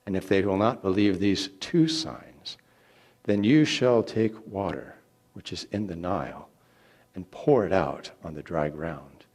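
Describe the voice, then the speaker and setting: A man voicing a solemn, commanding male God who speaks with deliberate authority in a dramatic scripture reading. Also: low voice, a little mellow, breathy edge. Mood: instructing.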